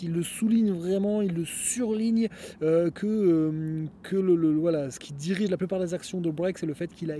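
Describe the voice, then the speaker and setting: A man's voice: high-pitched, warm tone, slight background noise. A man hosting a solo podcast outdoors, talking casually and rambling with many fillers. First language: French. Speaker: podcast host.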